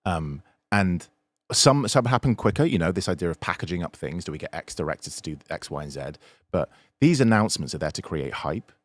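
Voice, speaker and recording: A man's voice: low voice, expressive, a little fast, no echo. A man in an unscripted panel discussion, giving opinions cynically and emphatically, repeating words for stress. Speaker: podcast panelist.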